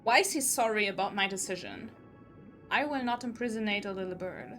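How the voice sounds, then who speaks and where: A woman's voice: medium pitch, animated, brisk. A woman streamer commenting live on a game, outspoken and emotionally invested as she reacts aloud. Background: faint game music.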